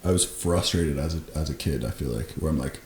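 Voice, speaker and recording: Deep, mellow man in an echoey room. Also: a little fast. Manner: easygoing, reflective, frequent fillers. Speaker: podcast co-host. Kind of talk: casual chat. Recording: echoey room with faint noise.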